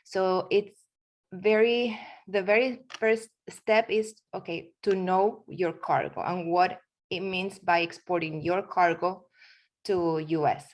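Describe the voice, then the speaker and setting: Argentine woman, low voice, halting talk. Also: fairly even intonation. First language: Spanish. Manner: halting, frequent fillers and restarts. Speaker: guest speaker. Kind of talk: webinar presentation.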